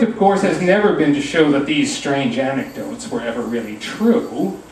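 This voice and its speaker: A man giving a live poetry reading in a theatrical, deliberate style with wry asides. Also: on the high side, a little mellow. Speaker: poet reading at a launch. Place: pub reading room, indoors. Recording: echoey room, distant mic, faint noise.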